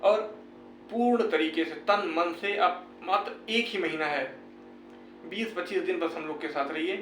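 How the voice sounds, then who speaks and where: An earnest man with a north Indian accent from Uttar Pradesh speaks in a high-pitched voice, informally explaining an update to viewers. He repeats phrases to press his points. The recording is roomy and echoing, picked up at some distance from the mic, with music playing behind him. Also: a little slow, fairly bright tone. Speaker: YouTube host giving exam recruitment updates.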